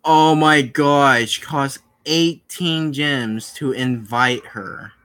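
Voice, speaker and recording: A man's voice: mid-range voice, drawn-out words, fairly bright tone. A man doing casual live-stream commentary while reading a visual novel, rambling and stumbling over his words as he repeats phrases.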